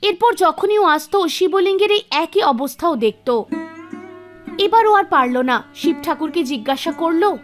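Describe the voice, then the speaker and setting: A woman narrates a cartoon folk tale in a very high-pitched voice. It is scripted storytelling, with music playing behind her. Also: lively intonation, a little slow. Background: background music.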